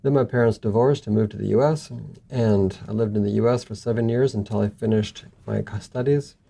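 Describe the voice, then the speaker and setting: Mellow, gravelly middle-aged man. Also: fairly low pitch, steady tone, a little fast. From southern Africa and Southeast Asia. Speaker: interview guest, missions journalist. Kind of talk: conversational personal account in an interview.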